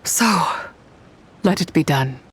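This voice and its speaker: A woman playing an ancient, proud moon spirit in a video game, solemn and formal in a deliberate delivery. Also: deep voice, husky, full character voice.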